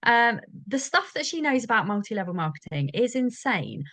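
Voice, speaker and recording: A woman's voice: fairly high pitch, very animated, normal speed, a bit bright, dry recording. A woman hosting a podcast interview, chatty and bubbly, laughing often. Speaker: podcast host. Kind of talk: casual interview chat.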